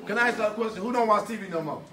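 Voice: mid-range voice, very fast, far from the mic. A group organizer bantering casually with an audience at a meet and greet. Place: large indoor meeting room. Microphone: handheld phone filming the room. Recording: noisy echoey room, chattering crowd. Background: crowd chatter, room noise.

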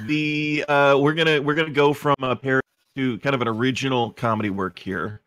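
A man's voice: mid-range voice, fairly even intonation, brisk, a bit bright, no echo. A man hosting with casual comedic banter, playing interviewer in hesitant phrasing. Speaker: podcast host.

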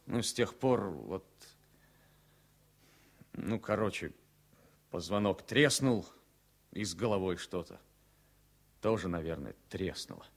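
An actor playing a talkative, wry former military pilot in his thirties, speaking in a halting, informal way in a radio drama. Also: sits low, lively intonation, gravelly, heavily acted. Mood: wistful, guarded. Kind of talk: Soviet radio drama acting.

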